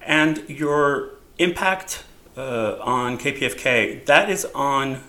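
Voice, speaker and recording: A man's voice: mid-range voice, animated, slow, sharp tone. A man hosting a radio interview, giving brief affirming replies and reading station plugs. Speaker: radio host.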